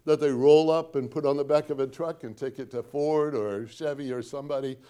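A man's voice: medium pitch, steady tone, a little fast, dark tone, smooth. A man preaching a sermon with a Northwest Indiana accent, talking in a conversational, folksy storytelling way with dry self-deprecating asides and emphatic repetition of key points. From Northwest Indiana, USA.

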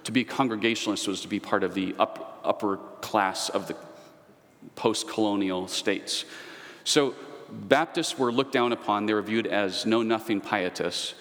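A man's voice: on the low side, fairly even intonation, moderate pace, a bit bright. A man giving a conversational history lecture. He wanders into asides and personal anecdotes as he teaches. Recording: long reverb, echoey hall.

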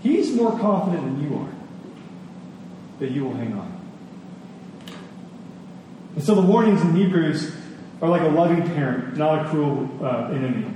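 A male preacher delivers an earnest sermon in a fairly high, soft-edged mellow voice. He leans on key phrases and repeats them for emphasis. The sound is heavily reverberant, as if in a large hall. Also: lively intonation. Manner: earnest, emphatic, with deliberate repetition for weight. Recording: echoey hall, distant, faint noise.

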